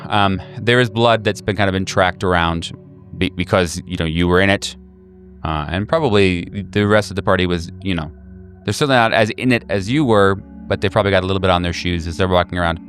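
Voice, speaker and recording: A man with a deep, low voice works as game master of a horror tabletop game. He improvises his descriptions in a halting way, searching for the next word. Faint music sits behind him. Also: a bit bright.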